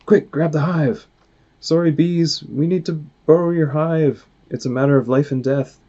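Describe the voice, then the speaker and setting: A man's voice: on the high side, fairly even intonation, moderate pace, mellow, voice pushed up, natural voice. A man plays a heroic storybook moose, earnest and bold. The voice is pushed up and urgent, like a leader taking charge. The sound is a little dull.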